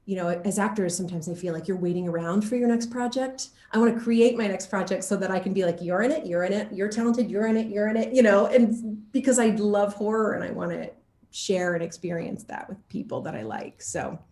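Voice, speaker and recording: A woman giving a casual interview as an actor, speaking in an animated, self-deprecating way with playful exaggeration. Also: medium pitch, brisk. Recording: roomy, echoing sound.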